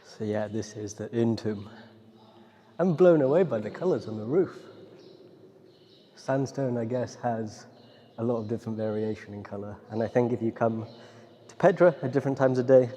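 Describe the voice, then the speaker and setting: A British man from Wales talking in a relaxed, conversational, appreciative way, like a casual travel vlog. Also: on the low side. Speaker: travel vlogger. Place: outdoors and inside rock-cut tombs at a desert site.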